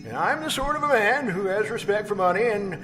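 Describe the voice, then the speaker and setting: High-pitched, gleefully sinister gentleman. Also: animated, brisk. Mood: fussy, coaxing. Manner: stammering, repetitive, chuckling oily politeness. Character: gleefully sinister murderous householder, nervous and fussily polite. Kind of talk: old-time radio horror acting. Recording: live performance with loud music behind.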